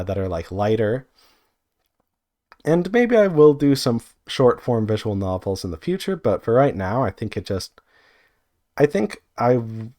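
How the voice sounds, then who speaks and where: Low-voiced man in a casual vlog. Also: darkish tone. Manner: informal, hesitant, self-correcting, thinking aloud. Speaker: indie visual novel developer giving updates. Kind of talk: casual rambling vlog update.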